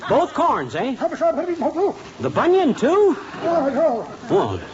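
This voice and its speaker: An actor playing a boastful, scheming husband in a 1950s radio sitcom, brash and excitable. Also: male voice, very high voice, very slow, background noise, full character voice. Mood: phone chat. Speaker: lead comic. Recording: dull 1950s radio broadcast, studio audience.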